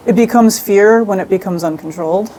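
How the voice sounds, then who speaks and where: A woman guest in a relaxed interview, with a mellow, medium-pitched voice. She explains her creative work informally and reflectively, over faint background noise. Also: fairly quick, rough recording. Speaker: interview guest, audio fiction writer. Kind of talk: casual chat.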